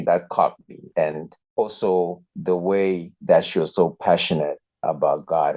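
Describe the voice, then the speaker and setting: A man's voice: deep voice, a little mellow. A man, a youth pastor telling his story earnestly and reflectively as a podcast guest. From Zimbabwe. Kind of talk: podcast interview, personal story.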